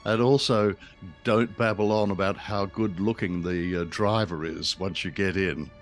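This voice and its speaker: A man's voice: low-pitched, fairly even intonation, measured pace, faint music behind. An older man co-hosting a show, chatting casually about a radio drama. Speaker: podcast co-host.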